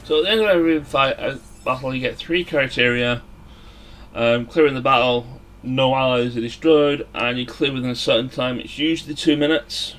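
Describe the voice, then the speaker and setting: A man giving casual, somewhat halting video game commentary as a let's play host. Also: medium pitch, fairly even intonation, fairly bright tone. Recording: music behind the voice. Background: game music.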